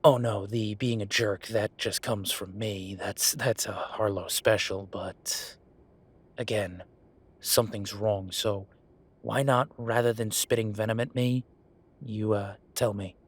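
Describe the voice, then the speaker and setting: Husky, sarcastic merman shop owner, played by a man. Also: on the low side, fairly even intonation. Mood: irritated, sardonic. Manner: halting, flippant, conversational. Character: gruff, sarcastic merman tattoo shop owner with a protective streak. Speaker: voice actor in an audio roleplay. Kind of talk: naturalistic one-sided audio roleplay.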